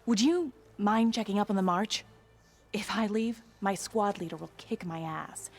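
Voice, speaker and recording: A proud young soldier in a video game. Her voice is medium in pitch, blunt and guarded, with a defensive edge. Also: unhurried. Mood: guarded worry. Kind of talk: video game character acting.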